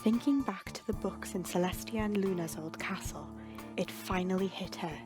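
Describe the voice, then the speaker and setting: A woman's voice: mid-range voice, moderate pace. Woman narrating a dramatic story over music.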